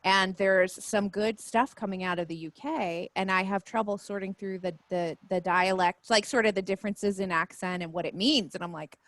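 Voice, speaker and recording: A woman's voice: medium pitch, steady tone, normal speed, hardly any pauses, a bit bright, no echo. A woman chatting on a video call, hesitant and full of fillers. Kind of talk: casual video-call chat.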